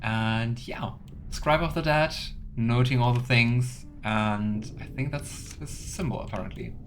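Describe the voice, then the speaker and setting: A man's voice: mid-range voice, a little slow, a little hiss or hum. A man giving casual, chatty game commentary as a let's play streamer, sometimes reading game text aloud.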